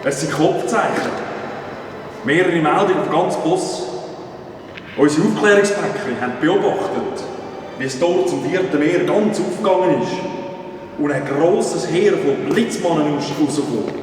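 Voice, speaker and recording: A man's voice: a little high, animated, measured pace, a little hiss or hum. Actor as a dutiful henchman, distant echoey hall. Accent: Swiss German. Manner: dutiful reporting. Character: obedient male scout-messenger henchman.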